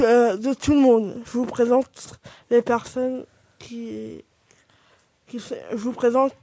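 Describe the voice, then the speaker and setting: Woman hosting a small radio show, flat mellow voice, halting, music behind. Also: fairly high pitch, no room sound. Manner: halting, restarting sentences. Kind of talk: amateur radio presenting.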